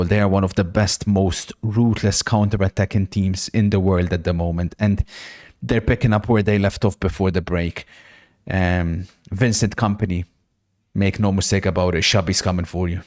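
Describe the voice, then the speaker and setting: A man's voice: deep voice, fairly even intonation, normal speed, a little mellow. A man hosting a live football watch-along stream, chatting casually, reacting to the match and reading out viewer messages.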